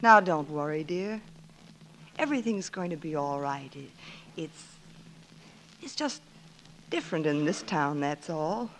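Wry, plucky woman with lively pitch. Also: unhurried, long pauses, slight background noise, low-quality recording. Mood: reassuring. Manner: wry, dry wit. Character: wry, plucky, protective older sister from Ohio. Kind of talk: 1940s radio comedy acting and narration. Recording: old 1940s radio broadcast.

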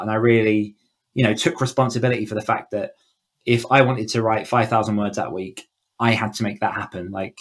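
A man speaking as an author coach in a conversational podcast interview, explaining informally with plenty of "like" and "you know". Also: lowish voice. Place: indoors, in a room at home, online call.

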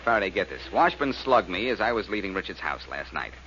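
An actor playing a brash, quick-witted male amateur sleuth in a 1940s American radio detective drama, cocky and commanding. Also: low voice, big pitch swings, fairly bright tone. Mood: urgent, insistent. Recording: muffled, noisy old radio recording.

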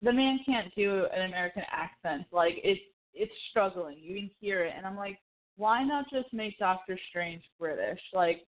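A woman's voice: medium pitch, expressive, moderate pace. A woman co-hosting a casual chat show, giving her opinions in an informal, conversational way. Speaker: podcast co-host. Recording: thin, phone-like band-limited audio.

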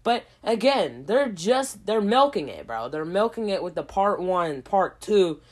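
A woman hosting a casual solo podcast, hoarse from a cold, rambling in a slangy way with lots of asides. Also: medium pitch, sing-song, measured pace, a little mellow. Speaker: podcast host.

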